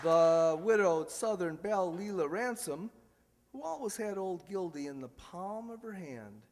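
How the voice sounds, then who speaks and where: Man, live radio announcer, high pitch, jokey delivery, faint background noise. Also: animated, unhurried, darkish tone. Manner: jokey, ad-libbing. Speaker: announcer and host. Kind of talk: live old-time radio show announcing. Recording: echoey hall with background hiss.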